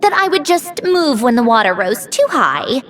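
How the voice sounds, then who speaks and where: Young female game mechanic, highish pitch, animated intonation, self-assured, wry, brisk, secretly tender. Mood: wistful. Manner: brisk, instructing, wry, reflective. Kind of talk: video game voice acting. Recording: music behind the voice.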